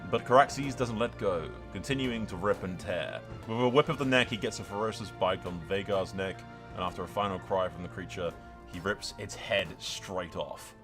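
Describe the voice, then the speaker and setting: Man acting grim, low-voiced fantasy warrior prince. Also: moderate pace, a bit bright, faint music behind. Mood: solemn, dramatic. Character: grim menacing warrior prince narrating his dragon battle. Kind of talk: dramatic fantasy roleplay narration.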